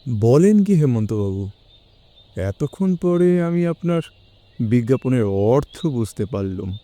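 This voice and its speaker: A man playing an anxious, respectful gentleman in an audio story, speaking in a formal, earnest way. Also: mid-range voice, animated, unhurried, lightly acted. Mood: alarmed, astonished. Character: astonished, anxious, respectful gentleman seeking help from detectives. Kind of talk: Bengali audio story acting.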